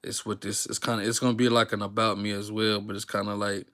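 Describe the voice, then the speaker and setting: A man's voice: fairly low pitch, fast talker. A young man from Texas, talking unscripted to his viewers in a rambling, slang-filled way with lots of fillers. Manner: rambling, relaxed slang-filled talk with many fillers and restarts. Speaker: YouTuber. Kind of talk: unscripted casual talk to viewers, live stream.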